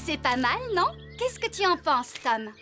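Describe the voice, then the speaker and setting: A brisk woman farmer from a children's cartoon. Her voice is extremely high and very animated, scolding in temper, over background music. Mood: cheerful. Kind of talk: French children's cartoon dub.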